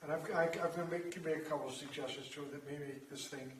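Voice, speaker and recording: Calm explanatory man in a roomy hall. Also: on the high side, quick pace, a little hiss or hum. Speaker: speaker offering suggestions at a village meeting. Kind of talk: reasoned public comment. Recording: roomy sound, mic far off.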